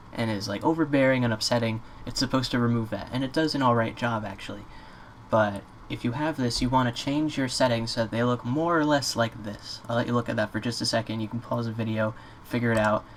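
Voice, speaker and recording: Informal man, noisy computer tutorial recording. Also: medium pitch. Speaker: tutorial presenter. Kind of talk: casual screen-recorded software tutorial. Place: indoors at a computer. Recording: clear background noise, keyboard clicks.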